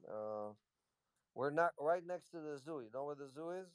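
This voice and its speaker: Smooth-voiced man rambling through answers. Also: a little high, expressive, normal speed, dry recording. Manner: rambling, loose, lots of "you know". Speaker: company executive answering questions. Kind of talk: casual Q&A on a live audio chat.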